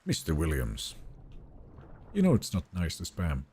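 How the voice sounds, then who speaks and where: A man's voice: deep voice, wildly swooping pitch, a little slow, darkish tone, slightly rough. A young man whose first language is Finnish, casually chatting with viewers and reading their messages during a live game stream from a home setup. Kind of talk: live gameplay stream, reading chat aloud.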